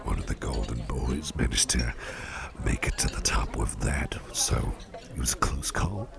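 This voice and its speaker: A man voicing a gracious, devilish male boss in an ASMR roleplay, praising the listener in soft whispers. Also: very deep voice, animated, normal speed, creaky, music in the background, heavily acted. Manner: effusive, softly whispered praise.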